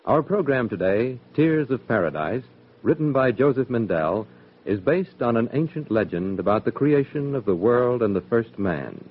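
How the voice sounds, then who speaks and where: A man making a formal, measured radio announcement in a 1960s broadcast. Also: medium pitch, lively intonation, husky, phone-quality sound.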